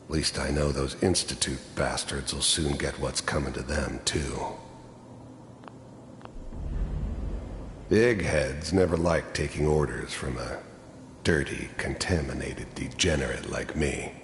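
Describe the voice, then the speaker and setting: An actor playing a cynical, hardened middle-aged mercenary in a video game, with a gravelly voice and a wry, world-weary way of confiding. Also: male voice, fairly even intonation, normal speed, a bit bright. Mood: brooding, bitter. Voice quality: gravelly, very deep. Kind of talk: video game character monologue. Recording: echoey hall reverb with background noise.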